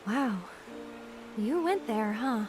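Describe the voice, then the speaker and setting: A woman playing a gentle, earnest young woman in a video game, speaking in a soft, reflective and hesitant way. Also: a little high, animated, takes it slowly. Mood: gently teasing. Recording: music playing behind the voice. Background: game music.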